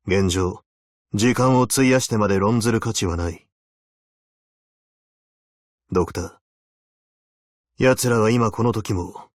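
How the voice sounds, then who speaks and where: A man's voice: on the low side, no room sound, clean studio sound, close to an everyday voice. A man voicing a stern, weary veteran abyssal hunter in a video game, speaking in a terse, solemn, commanding way. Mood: brooding, probing. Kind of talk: Japanese video game character voice lines.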